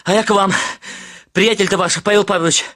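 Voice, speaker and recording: High-pitched, excitable stammering young man. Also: a little fast. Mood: chatty, amused. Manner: hesitant, stammering, exclamatory. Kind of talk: Russian radio drama acting, lively dialogue.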